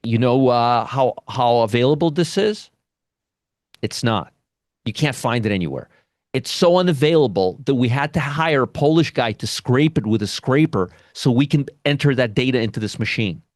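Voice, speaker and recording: A man's voice: medium pitch, expressive, normal speed, no room sound, studio quality. A man hosting a live trading webinar, teaching options and often checking in with "you understand?" as he repeats his points. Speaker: options trading educator, show host.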